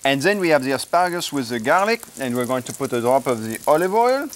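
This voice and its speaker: A man's voice: mid-range voice, big pitch swings, fast, a little hiss or hum, lightly acted. Fussy French TV chef, played by a man. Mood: fussy, genteel. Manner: pompous, sardonic. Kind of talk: comic cooking show banter and demonstration. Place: TV studio kitchen.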